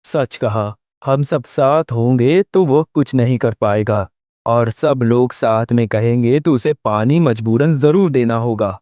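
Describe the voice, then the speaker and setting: A man's voice: medium pitch, a little slow, mellow, dry recording, muffled recording, close to an everyday voice. A man voicing a plain-spoken, supportive villager in a Hindi moral-story cartoon, direct and encouraging.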